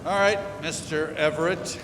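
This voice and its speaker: Guy acting a bossy boat captain calling orders, high voice, radio play, echoey and noisy. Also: steady tone, close to an everyday voice. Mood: commanding. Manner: brisk, commanding. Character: commanding steamship captain barking orders. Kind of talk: old-time radio drama acting. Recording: echoey, noisy live hall recording.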